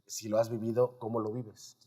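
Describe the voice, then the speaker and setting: Man, Mexican accent, low voice, interview host, emphatic and explanatory, conversational. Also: little pitch movement, moderate pace, mellow, breathy edge.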